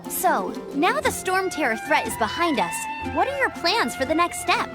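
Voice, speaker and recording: An upbeat, friendly young scout girl. Her high voice swings lively up and down. Game music plays clearly behind her. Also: moderate pace. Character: cheerful young female scout. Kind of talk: game cutscene dub.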